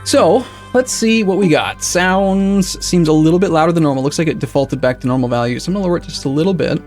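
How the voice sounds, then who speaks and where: A man's voice: a little high, fast talker, darkish tone. Rambling man streaming over faint music. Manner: rambling, self-correcting, reads and answers chat. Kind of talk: casual live-stream chatter while setting up a game.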